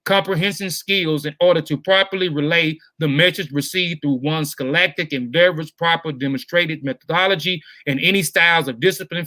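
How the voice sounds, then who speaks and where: A man hosting a livestream interview, reading measured prepared text and then talking casually to his audience. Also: fairly high pitch, sharp tone, dry recording. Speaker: host.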